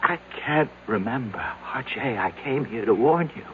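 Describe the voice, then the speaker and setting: An actor playing a frightened, suddenly aged man in a radio drama, halting and pleading as he repeats himself. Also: medium pitch, lively intonation, lightly acted. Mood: weak, pleading. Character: frail, terrified man suddenly aged into seventies. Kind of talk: old-time radio drama acting. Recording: noisy, tinny vintage radio broadcast.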